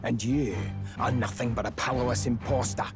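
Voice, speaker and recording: A man's voice: mid-range voice, steady tone, normal speed, gravelly, lightly acted. An actor playing a cold, murderous henchman in a fantasy video game, speaking formally and haughtily in blind loyalty to his lord. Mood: scornful. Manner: haughty, menacing, formal speech. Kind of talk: scripted video game voice acting. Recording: loud music behind. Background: game soundtrack music.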